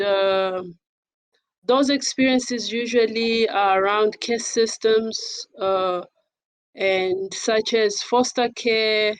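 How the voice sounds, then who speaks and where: A woman speaking as an advocate on a panel in a formal, measured and somewhat hesitant manner. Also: mid-range voice, no room sound, poor audio. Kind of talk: panel talk introducing herself and discussing.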